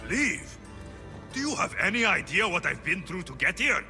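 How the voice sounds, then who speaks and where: An actor playing a bold, impatient young Greek mercenary in a video game drama, with a brash, wry delivery. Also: male voice, high-pitched, very animated, normal speed, pushed and bright, faint music behind, heavily acted. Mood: indignant.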